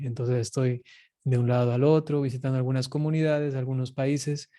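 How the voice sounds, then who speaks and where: A male priest from Ecuador with an Ecuadorian accent and a soft-edged, mellow voice of average pitch. He answers as an interview guest, reflective and devout. His delivery is earnest and measured.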